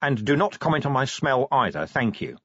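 A man's voice: mid-range voice, sing-song, fairly quick, a bit bright, husky, no room sound, highs cut off, voice pushed up. A man voicing an irritable, world-weary police commander with a curt, sardonic way of talking, in an audiobook story. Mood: irritated, curt.

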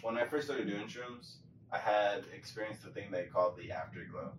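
A man's voice: fairly low pitch, normal speed. A man in casual conversation, telling anecdotes in an informal, slangy way. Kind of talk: casual chat. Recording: echoey room, distant mic, faint noise.

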